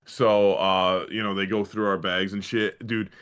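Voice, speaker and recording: A man co-hosting a podcast, telling comic anecdotes in a halting, slangy way with heavy swearing. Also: sits low, little pitch movement, a bit bright, smooth. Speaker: podcast co-host. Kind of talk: casual comic anecdote chat.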